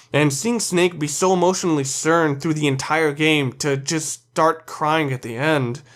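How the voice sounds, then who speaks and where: A man's voice: a little high, a bit bright. Guy doing opinionated countdown video commentary, blunt and sweary, leans hard on his words. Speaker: video reviewer narrating a countdown.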